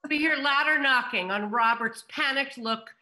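Bright, higher-pitched woman moderating. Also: normal speed. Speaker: moderator and stage-direction reader. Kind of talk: reading scripts and audience questions. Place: home room, video call.

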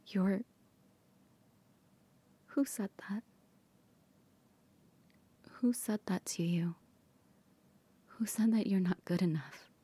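A woman whispering softly in an ASMR comfort roleplay, gently reassuring a partner. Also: mid-range voice, slow pace, pauses often, breathy edge.

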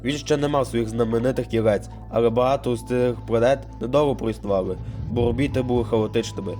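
A man playing a calm storyteller in a cartoon, explaining planetary history in a measured, scripted way. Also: medium pitch, pitched below the performer's own voice, close to an everyday voice. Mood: explanatory. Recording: music behind the voice.

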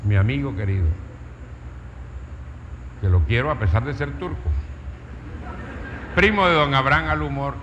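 A man with a Caribbean Colombian accent giving a storytelling talk, emphatic and rhetorical, fond of lists and questions. His middle-pitched voice echoes with the long reverb of a large hall. The sound is dull, with faint noise behind. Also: normal speed, long pauses, a bit bright. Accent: Colombian Caribbean coast. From Caribbean coast of Colombia. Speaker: speaker, writer.

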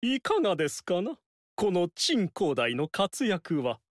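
A self-satisfied strategist who boasts of his own schemes. His pitch leaps and dips dramatically while he speaks in a slow, savoring way. The recording is close and dry. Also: wildly swooping pitch, no room sound, heavily acted. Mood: proud. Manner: boastful, theatrical, swings loud and soft. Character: theatrical vain male strategist, boastful schemer. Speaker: game character voice. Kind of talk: Japanese game dialogue acting. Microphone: dry studio mic.

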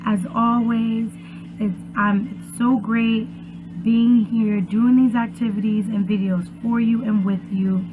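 A young woman with a high voice talks to preschoolers as their teacher, reading aloud and chatting. She takes her time and sounds expressive and encouraging. The sound is slightly tinny and muffled, as from a webcam at home. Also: slow, over music. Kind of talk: children's read-aloud and chat. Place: small furnished room at home, indoors.

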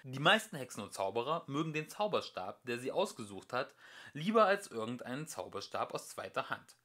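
A man reading a scripted audiobook as an amateur narrator, with expressive, varied emphasis. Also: medium pitch, brisk.

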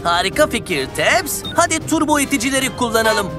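A woman's voice: a little high, sing-song, brisk, music in the background. A woman voicing a cheerful, curious young male cartoon adventurer who exclaims and explains things simply in a children's dub. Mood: excited. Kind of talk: children's cartoon dubbing in Turkish.

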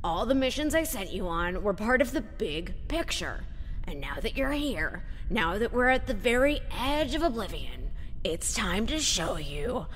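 A woman's voice: mid-range voice, very animated, a little slow, a bit bright, a little hiss or hum. A woman playing an eccentric, defensive genius inventor in an audio drama, explaining things in a dramatic, declaiming way. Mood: intense, ominous. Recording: long hall-like reverb.

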